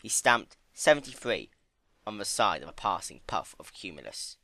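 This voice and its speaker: A man's voice: fairly low pitch, sing-song, normal speed, gravelly, sharp tone, dry recording. A man narrating a story as a dramatic reading. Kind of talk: dramatic reading of fan fiction.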